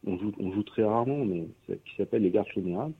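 Husky low-voiced man on a tinny line. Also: fast. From Pyrénées-Orientales, France. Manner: hesitant, many fillers and restarts. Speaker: guest musician, fiddler. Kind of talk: casual radio interview. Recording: tinny phone-like radio line.

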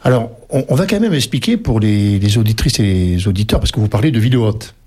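Lively, husky male radio host. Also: lowish voice, quick pace. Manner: chatty, eager, interjecting. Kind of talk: radio interview. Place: radio studio.

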